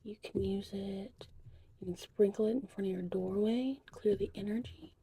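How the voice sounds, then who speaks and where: A woman whispering softly and breathily in an unhurried, chatty ASMR witch potion tutorial roleplay. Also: medium pitch, vocal fry, a little hiss or hum. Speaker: ASMR host.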